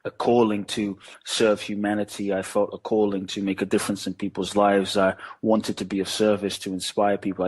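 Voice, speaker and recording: A man from Ghana who grew up in London, a guest on a podcast, telling his life story in a reflective, measured way with many pauses and fillers. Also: lowish voice, breathy edge. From Ghana, raised in London. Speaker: guest, spiritual teacher.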